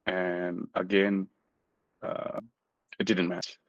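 A man's voice: low-pitched, completely flat delivery, drawn-out words, no echo, lo-fi sound. A man in his forties from Nepal, a physician telling his story in a casual interview, earnest and self-deprecating. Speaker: guest physician.

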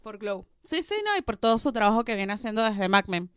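A woman with a Venezuelan Spanish accent, co-hosting a casual chat about TV series with an animated, laughing delivery. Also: mid-range voice, fairly quick, clear, resonant tone, no echo, studio quality. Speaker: podcast co-host.

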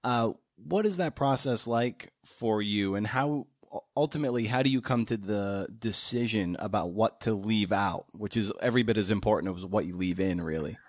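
A man with a fairly low voice hosts a casual interview. He builds his questions gradually, pausing to search for words, and the sound is dry and close. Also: dry recording. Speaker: podcast host interviewer. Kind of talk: casual long-form interview.

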